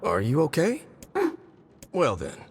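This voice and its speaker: Kindly, lively-voiced postman. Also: mid-range voice, slow pace, slight background noise, exaggerated voice. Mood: gentle, caring. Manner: gentle, chatty, coaxing, folksy. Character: kind, easygoing postman chatting gently to a silent friend. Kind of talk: video game voice acting. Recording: background music under the voice.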